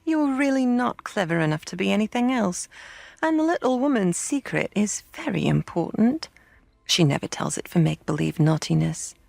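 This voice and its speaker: A woman voicing a cool, teasing village girl who speaks in a slow, superior drawl. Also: mid-range voice, sing-song, gravelly, a little mellow, no echo, full character voice. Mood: aloof.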